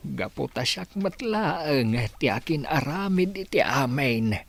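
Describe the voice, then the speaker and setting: Casting: actor for elderly Ilocano Filipino village man, weary and regretful, rough slow voice, radio drama. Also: fairly high pitch, lively intonation, heavily acted.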